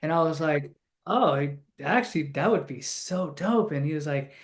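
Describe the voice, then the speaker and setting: A man's voice: a little high, lively intonation, moderate pace. A man, an actor and martial artist, talking casually and laid-back as an interview guest. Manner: laid-back, uses casual slang and fillers.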